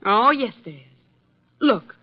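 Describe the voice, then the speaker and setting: High-voiced petulant, flirtatious wife. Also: female voice, animated, slow pace, no echo. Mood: coaxing, needy. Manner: pressing, petulant. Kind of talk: 1940s-50s radio drama acting. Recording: dull old radio recording.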